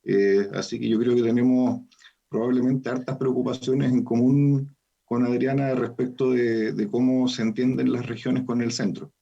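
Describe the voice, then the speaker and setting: A man from the far south, a radio guest answering in a measured way and repeating words as he searches for them. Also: mid-range voice, little pitch movement, gravelly, mellow, husky. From Magallanes, southern Chile. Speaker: guest, construction industry member. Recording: distant, dull remote line.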